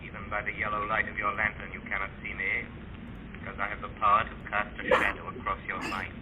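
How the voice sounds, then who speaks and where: An actor playing a mysterious, commanding invisible avenger in an old radio thriller, menacing and taunting in a deliberate manner. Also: male voice, on the low side, monotone, close to an everyday voice. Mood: eerie calm. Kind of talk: 1940s radio drama acting. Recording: noisy, muffled old-time radio recording.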